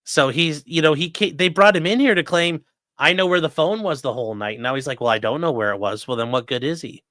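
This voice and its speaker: A man's voice: a little high, fairly quick, fairly bright tone, no echo, clean studio sound. A man hosting a livestream, joking through sponsor reads and giving blunt, sarcastic opinions. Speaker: livestream host, defense attorney. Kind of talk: casual livestream commentary.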